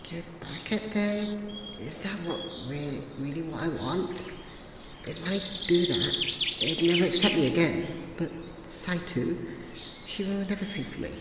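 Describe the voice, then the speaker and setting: A woman's voice: lowish voice, lively intonation, measured pace. A woman playing a bitter cast-out leader, echoey. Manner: emotional, swinging between anger and doubt. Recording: roomy echo with loud noise.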